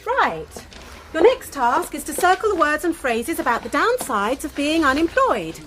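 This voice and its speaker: An actress in a radio play playing a brisk, officious female job-programme adviser with a condescending manner. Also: high-pitched, sing-song, noisy background. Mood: bossy.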